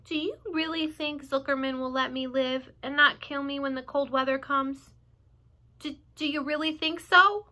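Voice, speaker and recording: A woman's voice: extremely high pitch, sing-song, a little slow, bright, forceful tone, pitched above the performer's own voice, exaggerated voice. A woman voicing a timid young male pig who asks anxious, pleading questions and stammers.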